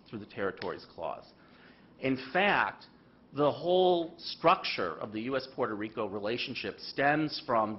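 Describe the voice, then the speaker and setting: Measured man giving legal testimony. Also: mid-range voice, lo-fi sound, a little hiss or hum. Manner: measured, deliberate legal argument. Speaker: law professor testifying. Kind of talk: formal prepared testimony.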